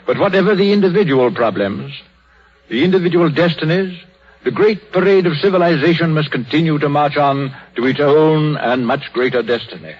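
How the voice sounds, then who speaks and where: An actor playing a gentle, philosophical old minister in a 1930s radio drama, with a British accent and a measured, solemn manner. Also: male voice, a little high, husky. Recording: dull, muffled 1930s radio recording.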